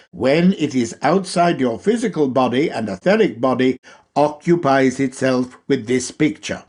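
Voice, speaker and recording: A man reads a lecture aloud in a husky, somewhat rough voice. His delivery is slow and instructive, with deliberate emphasis on phrases and a lively rise and fall in pitch. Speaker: reader of a lecture. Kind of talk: read-aloud esoteric lecture.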